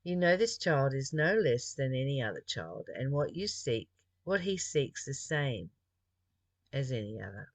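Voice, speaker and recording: Speaker from Australia, slow delivery, earnest, deliberate, reading aloud with reflective asides, dry sound. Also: mid-range voice, sing-song, no room sound. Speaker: host reading and commenting on a spiritual text.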